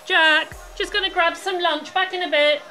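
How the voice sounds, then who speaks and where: A woman's voice: very high-pitched, fairly even intonation, normal speed, pushed and bright, faint music behind. An actress playing a kindly, chatty British hairdresser in an audio play, motherly and full of endearments. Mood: bright, chatty. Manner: motherly, calls people "love".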